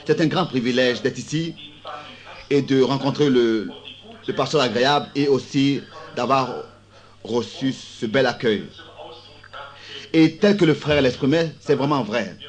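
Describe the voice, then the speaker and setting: A hoarse man preaching a sermon in a school auditorium, speaking with a slow, halting delivery and frequent restarts. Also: medium pitch.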